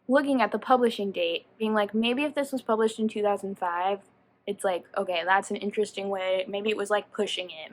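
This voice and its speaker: A woman giving a casual, chatty book review as a vlogger, animated and slangy, often rambling and interrupting herself. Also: mid-range voice, slight background noise.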